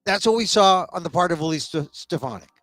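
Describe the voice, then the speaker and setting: A man's voice: high-pitched, animated, fairly quick, a bit bright, dry recording. Opinionated sniffly man host, dry sound. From United States. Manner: opinionated, repeats words when starting sentences. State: a little sniffly. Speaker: talk show host. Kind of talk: solo political commentary.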